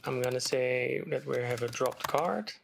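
Man, mid pitch, gravelly, walking through code calmly for a tutorial; faint hiss, typing and clicks underneath. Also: unhurried. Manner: relaxed, step-by-step explaining while typing. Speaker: game development tutor. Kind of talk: screen-recorded coding tutorial. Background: keyboard typing and mouse clicks.

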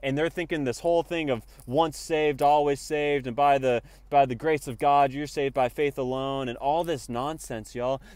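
A man's voice: medium pitch, fairly even intonation, normal speed, hardly any pauses, darkish tone, clear, resonant tone, no room sound. Preachy man vlogging from his car. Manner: emphatic, preachy, keeps saying y'all. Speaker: spiritual vlogger. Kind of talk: preachy selfie vlog monologue. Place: parked car. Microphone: earphone mic, phone at arm's length.

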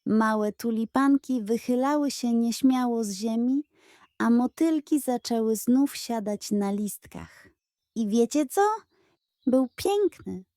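Soft, unhurried woman storyteller. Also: a little high, no echo, clean studio sound. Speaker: storybook narrator. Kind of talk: scripted children's audiobook narration.

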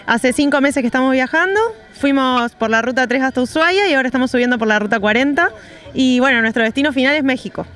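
A woman's voice: high-pitched, quick pace, a bit bright. Cheerful young Argentine woman. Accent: Argentine Spanish. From Rosario, Argentina. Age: young adult. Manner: cheerful, chatty, many fillers like "y bueno". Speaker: interviewee, traveling artisan. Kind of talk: street interview. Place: outdoors on a street by parked vans. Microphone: handheld reporter mic.

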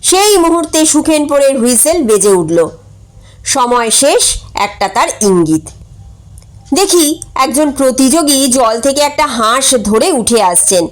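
A woman's voice: sits high, sing-song, a little slow, low-quality recording. A woman reading a humorous short story aloud as a scripted narration.

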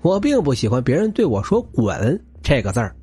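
A man's voice: medium pitch, wildly swooping pitch, brisk, dark tone, husky, soft background music, voice pushed down, heavily acted. A man voicing a cocky young man in an audiobook, mocking, nonchalant and casually menacing. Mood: smiling menace.

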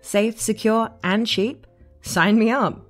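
A woman's voice: fairly high pitch, steady tone, slow, soft background music. A woman reading a scripted promotional spot as a channel host.

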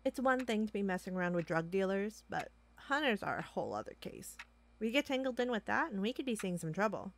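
A woman's voice: mid-range voice, sing-song, brisk. A woman playing a playful, nosy office underling in an audio roleplay, with a teasing manner. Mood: uneasy. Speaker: voice actor. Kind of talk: amateur audio roleplay acting.

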